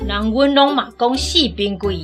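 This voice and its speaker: A woman playing a stubborn, mocking village busybody in a radio drama, arguing with drawn-out emphasis in a Taiwanese accent. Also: on the high side, faint music behind, close to an everyday voice.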